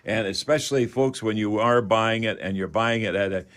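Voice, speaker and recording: A man's voice: lowish voice, normal speed, fairly bright tone. An older man with a Canadian accent hosting a chatty radio call-in show in a folksy, rambling manner.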